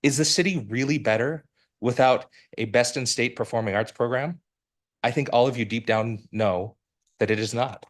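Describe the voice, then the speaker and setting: A man's voice: medium pitch, moderate pace. Earnest man in his forties addressing a council. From Utah, United States. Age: 40s. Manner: earnest, formal and persuasive, with some restarts. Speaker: resident giving public comment. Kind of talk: prepared public comment speech. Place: city council chamber.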